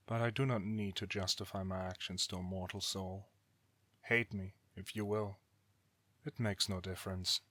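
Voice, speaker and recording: A man's voice: low-pitched, fairly bright tone, no room sound. A man playing an ancient god in an audio drama, a bitter, weary brother who speaks formally and solemnly without remorse. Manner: formal archaic diction, grave, deliberate. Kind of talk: dramatic audio roleplay acting.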